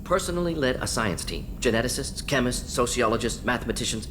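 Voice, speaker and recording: A man's voice: mid-range voice, animated, noisy background, natural voice. An actor playing a brainy, pragmatic male alien scientist in a video game, speaking in clipped, telegraphic phrases. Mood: clinical. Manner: clipped telegraphic phrases, rapid-fire listing. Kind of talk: video game dialogue acting.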